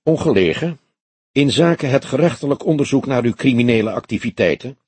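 A man voicing a grey-haired veteran police detective in an audiobook, old-fashioned, formal and deliberate, with a dry, probing way. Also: mid-range voice, animated, a little mellow, breathy edge, no echo. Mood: probing, pointed. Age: older.

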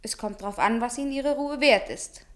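Radio drama: female actor for a clever young go-between, high-voiced, cool and persuasive. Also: moderate pace, a bit bright, natural voice. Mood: coolly bargaining. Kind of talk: German radio play acting.

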